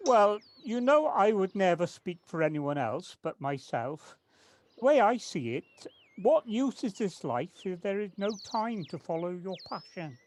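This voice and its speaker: A woman in an amateur audio drama playing a devoted, wistful male gardener, speaking in an earnest, halting, reflective way. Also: mid-range voice, very animated, a little mellow. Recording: noisy home personal-device recording with clicks.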